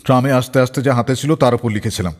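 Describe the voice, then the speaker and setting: A man hosting a radio show, telling stories dramatically and acting out the dialogue in an animated way. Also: medium pitch, fast. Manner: animated, acts out quoted dialogue. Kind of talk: dramatic radio storytelling between songs. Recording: long reverb on the voice.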